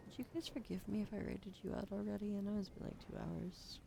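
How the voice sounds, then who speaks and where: A young woman streaming ASMR, drowsy and soft-spoken, slipping into whispers as she chats. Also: medium pitch, fairly quick, gravelly, noisy background. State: sleepy. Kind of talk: sleepy ASMR stream chat.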